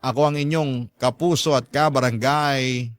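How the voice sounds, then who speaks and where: A man's voice: mid-range voice, fairly even intonation, measured pace, no room sound, studio quality. A man narrating a scripted first-person story as the host of a radio drama.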